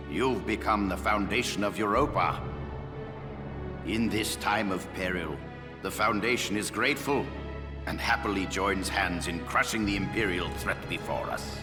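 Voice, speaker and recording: Deep-voiced, formal scheming diplomat. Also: male voice. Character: smooth-talking male foreign diplomat, secretly scheming. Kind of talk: video game cutscene acting. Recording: reverberant hall under clear music.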